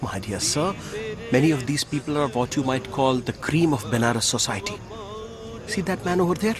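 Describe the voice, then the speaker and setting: An actor playing a respectable, well-informed local gentleman with an Indian accent, explaining formally in a radio drama. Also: medium pitch, animated, normal speed, music in the background, natural voice. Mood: confiding.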